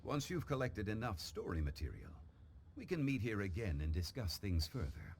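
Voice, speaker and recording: A calm, formal gentleman for a game cutscene, with a very low voice that still moves expressively. He is polite and scholarly, with clear ambient noise behind him. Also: moderate pace. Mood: courteous, composed. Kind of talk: video game cutscene acting.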